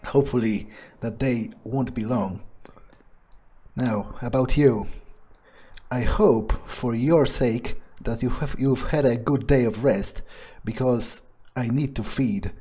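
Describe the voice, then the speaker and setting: Arrogant brooding male vampire, played by a man for a game roleplay: dark, husky, slow, menacing. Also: medium pitch. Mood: brooding, resentful. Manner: haughty, slow, halting, menacing. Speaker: role-playing game streamer. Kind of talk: in-character game playthrough monologue.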